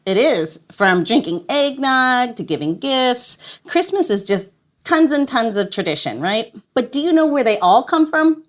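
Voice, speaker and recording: A woman's voice: fairly high pitch, big pitch swings, a little slow. A woman hosting a podcast in a casual, playful chat, laughing often. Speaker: podcast host.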